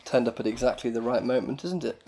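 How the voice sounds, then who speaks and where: A man's voice: medium pitch, quick pace, darkish tone, a little hiss or hum. A man talks casually with his young child as a father at bedtime. He sounds mock-concerned, picking things apart with questions. He explains his point patiently, in simple everyday words.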